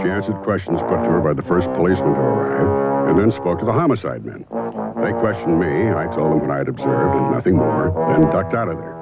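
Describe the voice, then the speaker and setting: A man acting a laconic, matter-of-fact private investigator telling his case in an old-time radio drama. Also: sits low. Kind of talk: scripted first-person narration, old-time radio drama. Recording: muffled vintage radio, loud music behind.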